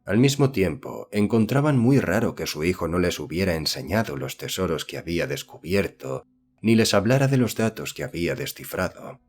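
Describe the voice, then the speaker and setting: A man reading a horror novel as an audiobook narrator, in a measured, formal storytelling manner. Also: low-pitched, animated.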